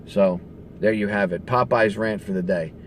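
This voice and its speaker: A man's voice: on the low side, moderate pace. Emphatic ranting man over background noise. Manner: emphatic, conversational, profane. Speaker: radio show host. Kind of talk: casual political rant to camera.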